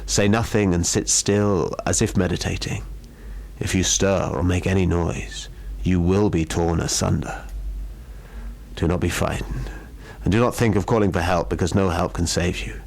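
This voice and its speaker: Solemn temple priest , read by a male storyteller: protective, cautioning, low voice. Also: steady tone, moderate pace, vocal fry, darkish tone. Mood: stern instruction. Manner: solemn, warning, instructive.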